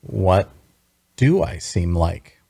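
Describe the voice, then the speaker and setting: A man playing a wry young traveler, telling his fantasy adventure in measured, dramatic first-person narration at a table read. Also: low-pitched, big pitch swings, gravelly, mellow, breathy edge, dry recording, full character voice. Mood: friendly, guarded. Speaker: voice actor.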